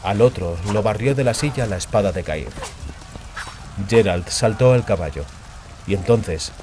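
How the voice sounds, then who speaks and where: A man's voice: fairly low pitch, animated, moderate pace, darkish tone, slight background noise. A man giving a dramatic scripted narration of a fantasy audiobook.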